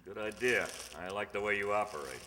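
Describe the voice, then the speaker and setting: Man playing a hard-edged, forceful police detective. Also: on the low side, brisk, a little hiss or hum. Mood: guarded, businesslike. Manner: curt, commanding, dry. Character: tough, unbribable undercover police detective holding crooks at gunpoint. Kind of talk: old-time radio crime drama acting. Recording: echoey hall stage recording.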